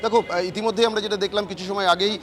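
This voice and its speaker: A man's voice: sits high, fairly even intonation, very fast, fairly bright tone. A man reporting live news outdoors with emphatic, repetitive phrasing. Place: outdoors outside a city courthouse.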